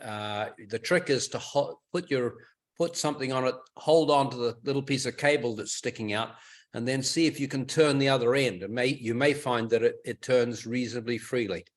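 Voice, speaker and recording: A man's voice: medium pitch, moderate pace. A man giving informal technical advice on a group conference call, explaining things in a methodical, instructive way. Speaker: club technical expert advising a caller. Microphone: telephone or conference call line.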